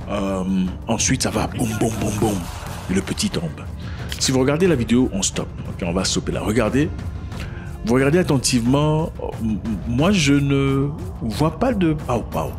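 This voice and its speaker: A man whose first language is not French, commenting on a news video in a casual style, asking many rhetorical questions and often restarting his words. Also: on the low side.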